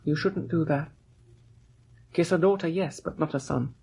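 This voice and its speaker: An actor playing a weak, gentle husband of about thirty in a radio drama, husky and halting, with a plaintive, weary manner. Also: male voice, on the high side, a little hiss or hum, low-quality recording. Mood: hesitant. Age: 30s. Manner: halting, plaintive, self-doubting. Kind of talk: old radio drama acting.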